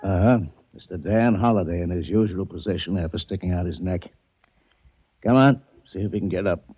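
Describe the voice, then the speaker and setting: Husky, lowish police lieutenant, sarcastic, dull old radio. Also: male voice, fairly quick. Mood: sardonic. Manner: sardonic. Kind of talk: 1940s radio acting.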